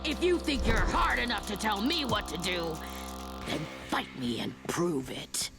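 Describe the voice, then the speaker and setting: A woman's voice: mid-range voice, animated, unhurried. A woman voicing a hostile, dominant cartoon warrior who talks in menacing challenges. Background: music.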